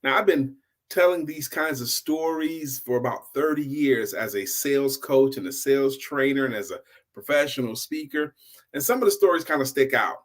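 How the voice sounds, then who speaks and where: A man's voice: mid-range voice, expressive, normal speed. A middle-aged man hosting an informal motivational storytime, repeating key phrases for emphasis like a seasoned speaker. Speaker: storytelling host, sales coach and speaker.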